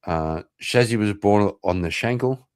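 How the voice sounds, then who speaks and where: A man's voice: on the low side, a little slow, a little mellow. A man hosting a casual livestream, swearing often and talking in a blunt, combative way. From Northern Ireland. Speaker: livestream host.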